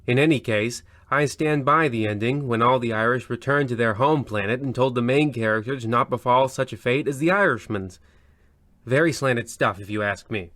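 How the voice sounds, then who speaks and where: An actor playing a pompous, petty host of an old-time radio horror parody who rambles off into digressions. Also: male voice, medium pitch, normal speed, a bit bright, dry recording, close to an everyday voice. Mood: defensive. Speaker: narrator and host. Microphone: close studio microphone in recording booth.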